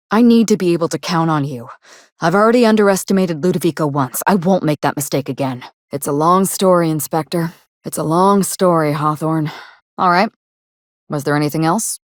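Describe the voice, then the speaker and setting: Woman doing a jaded guarded actress for a video game, deep-ish low voice, dry wry delivery, super dry studio sound. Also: no echo, natural voice. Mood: grave, regretful, then matter-of-fact. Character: guarded, world-weary actress investigating her sister's murder. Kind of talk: scripted game dialogue.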